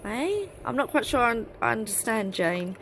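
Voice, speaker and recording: A slightly hoarse woman in her thirties chats on a phone held at arm's length in a cluttered craft studio. She rambles along with fillers and restarts, and there is faint background noise behind her. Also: on the high side, very animated, moderate pace. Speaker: craft group host. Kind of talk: casual live-stream chat.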